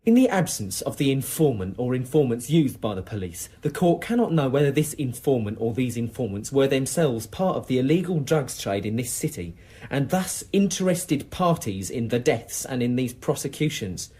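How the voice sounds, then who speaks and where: Husky, cocky informant. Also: male voice, mid-range voice, very animated, normal speed, hardly any pauses, mellow. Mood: nervy, bitter. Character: cocky, jittery male police informant and small-time criminal. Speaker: actor in a radio crime drama. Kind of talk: naturalistic radio drama acting.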